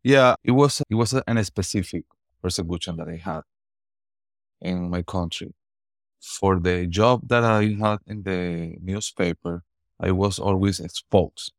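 A man in his forties from Venezuela with a Venezuelan Spanish accent, answering interview questions earnestly and haltingly, often repeating words as he searches for phrasing. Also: low-pitched, creaky, dry recording, clean studio sound. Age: 40s. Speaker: guest.

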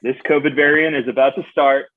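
A man's voice: medium pitch, steady tone, a little fast, no echo. A young man from New Hampshire, a guest on a podcast, chatting casually and playfully, acting out little voices in his stories. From New Hampshire, USA. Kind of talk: casual chat. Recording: dry tinny phone-like sound.

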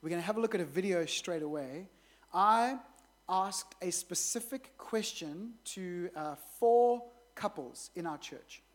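A woman pastor introducing a sermon series and leading a prayer in an earnest, measured way. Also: lowish voice.

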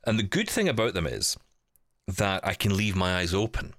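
A man with a very deep voice hosts a casual podcast chat. His pitch swings around in an animated way as he rambles through his stories. The sound is dry and close. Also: normal speed, no echo. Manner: chatty, rambling, animated storytelling. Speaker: podcast host and producer.

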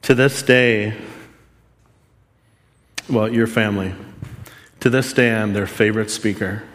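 A man's voice: lowish voice, fairly bright tone. A man giving a sermon, telling a personal story in an earnest, self-deprecating way. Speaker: preacher. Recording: long hall reverb.

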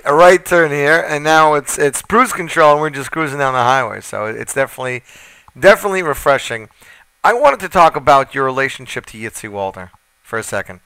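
A man's voice: medium pitch, bright, forceful tone, smooth, no room sound. A man hosting a radio interview, chatty and enthusiastic, asking questions in stacks.

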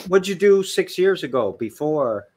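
A man's voice: fairly high pitch, big pitch swings, unhurried, a little mellow. Chatty middle-aged male podcast host. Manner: friendly, chatty, stumbling restarts. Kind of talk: casual interview.

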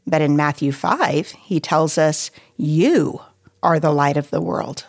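A woman reading a scripted devotional in a measured, deliberate way with pauses between phrases. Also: low-pitched. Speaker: devotional writer and reader.

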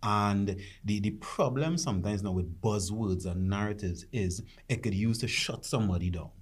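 A man in casual discussion, laying out his argument in a measured way. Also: fairly low pitch, husky. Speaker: discussion panelist.

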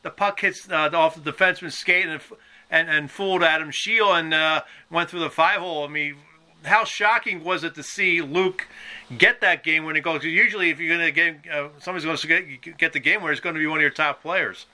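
A man hosting a conversational sports podcast interview, asking halting, rambling questions. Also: on the high side, sharp tone. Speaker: podcast host and sports journalist.

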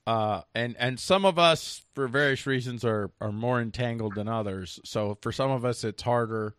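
An American man hosting a casual political talk show, speaking in a halting way and repeating words for emphasis. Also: mid-range voice, a bit bright, clean tone, no echo, studio quality.

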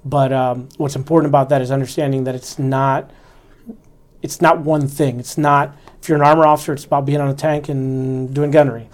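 A man in his forties speaks with an even, level delivery that rarely climbs or dips. He is an army officer reflecting on his experience in an interview, earnest and instructive, and he keeps coming back to the fundamentals. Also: mid-range voice, fairly quick.

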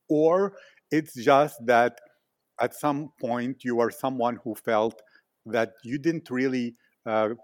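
A man's voice: mid-range voice, no echo, studio quality. A man interviewing in a reflective conversational style, asking long, winding, analytical questions.

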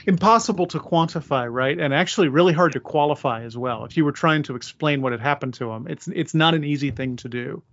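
A man with a somewhat high voice hosts a podcast conversationally. He states his opinions with emphasis. The sound is dry and close, with a slightly dull, lo-fi quality. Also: quick pace, few pauses, fairly bright tone, dry recording. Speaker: podcast host.

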